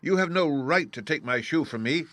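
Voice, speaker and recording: A man doing a high, indignant little girl. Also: very animated, moderate pace, no room sound.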